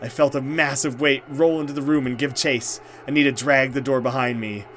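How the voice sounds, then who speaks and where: A man's voice: mid-range voice, steady tone, a little fast, fairly bright tone, slight background noise. A man hosting a let's play, reading horror game narration dramatically and reacting with casual commentary.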